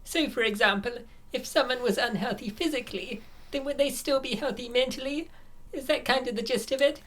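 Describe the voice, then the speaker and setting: A woman's voice: sits high, fairly quick, a bit bright. A woman voicing a confident, scheming young woman, the helpful best friend in a comic dub. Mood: thoughtful. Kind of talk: comic dub character acting.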